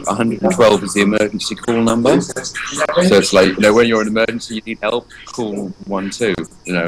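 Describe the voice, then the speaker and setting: A man's voice: lowish voice, low-quality recording. A man in his forties from England, talking earnestly in a loose, rambling way with many fillers and restarts during an interview. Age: 40s. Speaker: guest, energy painter. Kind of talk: casual spoken interview.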